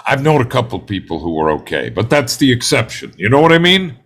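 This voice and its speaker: An actor playing an angry, bigoted juror in a play read-through, ranting in a colloquial, hectoring way and growing desperate. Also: male voice, mid-range voice, sing-song, fairly quick, a bit bright. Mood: agitated, insistent. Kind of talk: dramatic table read of a stage play.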